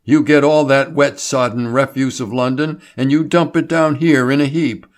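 Man voicing an excitable crank doctor, snorting, high and loud when worked up. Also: normal speed, close to an everyday voice. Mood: excited. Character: excitable older male doctor, scornful crank.

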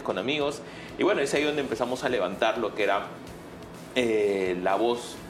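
A man with a Peruvian accent answering interview questions conversationally as a guest, hesitating and adding tag questions. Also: on the low side. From Peru. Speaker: guest, political candidate. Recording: clear background noise.

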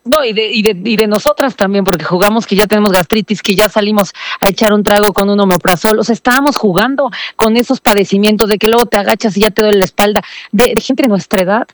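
A woman's voice: mid-range voice, quick pace, hardly any pauses, slight background noise. A woman, answering interview questions over a remote link, explaining and apologizing. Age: 40s. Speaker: lawmaker interviewed as guest. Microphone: remote call link.

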